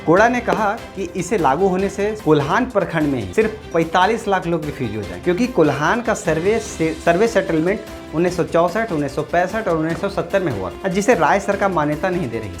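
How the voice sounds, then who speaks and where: A woman reading a scripted news explainer as narrator. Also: fairly low pitch. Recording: music behind the voice. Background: background music.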